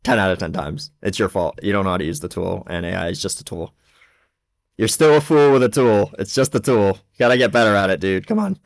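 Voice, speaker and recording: A man speaking as a podcast guest in casual chat, blunt and animated, with lots of filler words. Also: fairly low pitch, brisk, no echo, clean studio sound. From not from the Czech Republic. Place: lives in Prague.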